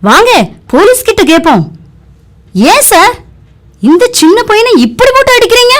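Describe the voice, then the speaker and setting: A high, very lively female teacher for a puppet show , acted by a woman. She is kindly and instructive, delivering her lines expressively for young listeners. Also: measured pace, a little hiss or hum. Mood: instructing. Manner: expressive, addressing children. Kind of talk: children's puppet show acting.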